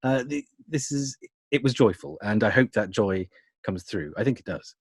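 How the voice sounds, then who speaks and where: A man's voice: fairly low pitch, animated, normal speed, breathy edge, no echo, studio quality. A man talking enthusiastically in an interview as an audio producer, wordy and often rephrasing as he goes. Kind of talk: conversational interview about creative work.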